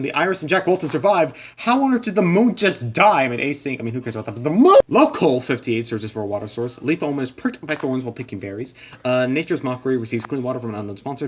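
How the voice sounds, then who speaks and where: Male host for casual game commentary: quick, rambling, loose delivery with deadpan asides. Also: mid-range voice. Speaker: host narrating a simulation.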